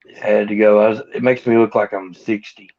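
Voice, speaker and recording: A man's voice: fairly low pitch, flat delivery, a little fast. A man in his late thirties, co-hosting a casual livestream chat, laid-back and chatty, telling stories. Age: 30s. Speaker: co-host. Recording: muffled lo-fi stream audio.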